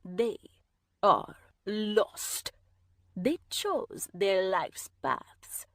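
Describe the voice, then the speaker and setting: A woman reader voicing a cold, stern, self-righteous woman who speaks slowly and calmly, sometimes in a whisper. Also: mid-range voice, dry recording, studio quality, exaggerated voice. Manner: slow, calm, measured, at times whispered. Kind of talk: audiobook character voice.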